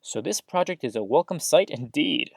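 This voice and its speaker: A woman's voice: low voice, lively intonation, moderate pace, creaky, no room sound, studio quality, heavily acted. A woman playing a chatty, upbeat small-town radio host in an audio drama, with folksy exclamations and theatrical news patter.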